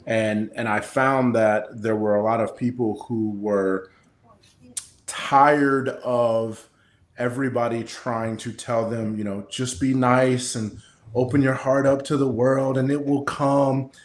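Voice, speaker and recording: A man's voice: medium pitch, little pitch movement, slow. American man in a roomy, echoing space. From Kansas City, Missouri, United States. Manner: straightforward, explanatory. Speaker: guest, personal development coach. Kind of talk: conversational interview answers.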